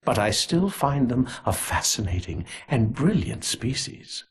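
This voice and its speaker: An actor voicing a smugly menacing, gleefully cruel conspirator in a video game, delivering a deliberate, theatrical monologue with relish. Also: male voice, mid-range voice, sing-song, slight background noise. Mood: morbid delight. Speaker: villain character in a video game. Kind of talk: scripted villain monologue.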